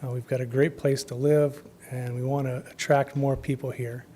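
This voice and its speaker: Man, hoarse, losing his voice, festival organizer, public comment at a council meeting, informal, trails off. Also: mid-range voice, level tone, moderate pace. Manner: informal, many ums, trails off quietly at phrase ends. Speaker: festival co-chair speaking to city commission. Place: council chamber.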